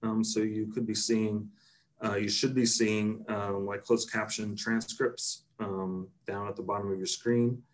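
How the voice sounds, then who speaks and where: A man's voice: lowish voice, little pitch movement, unhurried. A middle-aged man hosting a virtual interview over a video call, friendly and full of ums. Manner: friendly, filler-heavy. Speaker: host.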